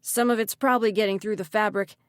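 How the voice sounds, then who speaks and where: A woman voicing a practical young man with a sharp, urgent manner in an audiobook story. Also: medium pitch, fairly even intonation, fast talker, no echo, studio quality, lightly acted.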